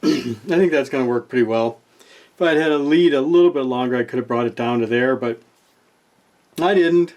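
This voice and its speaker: A man's voice: mid-range voice, a little mellow. A man giving casual, unscripted narration of hands-on repair work, thinking aloud in laid-back phrasing, often trailing off and correcting himself. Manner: halting, trailing off and self-correcting mid-thought. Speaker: host of a repair channel, hobbyist radio restorer.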